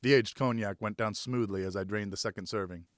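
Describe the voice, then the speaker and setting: A man's voice: fairly low pitch, brisk, dry recording, studio quality. A man narrating a first-person story as an audiobook reader, in a scripted, dramatic storytelling style.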